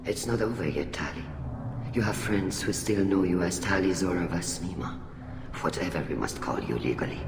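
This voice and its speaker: A woman's voice: very deep voice, animated, moderate pace. Older female alien admiral, stately and formal, game drama, reverberant hall with background noise. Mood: measured, formal. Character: older female alien admiral, dignified, warm, protective family friend. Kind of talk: video game voice acting. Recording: echoey hall, clear ambient noise.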